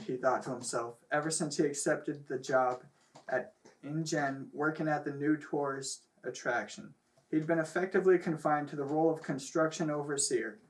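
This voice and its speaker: A man reading a comic story aloud as a host, stumbling now and then, recorded on a camera microphone. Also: a little high. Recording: noisy distant camera microphone.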